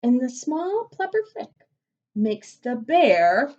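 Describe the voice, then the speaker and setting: An American woman with a fairly high voice tells a children's story. Her delivery is very unhurried, rhythmic and expressive. The recording sounds slightly dull and lo-fi. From United States. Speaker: museum educator, storyteller. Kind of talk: children's picture-book read-aloud.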